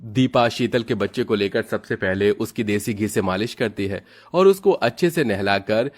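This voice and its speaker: Low-pitched man narrating a moral story. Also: animated, normal speed.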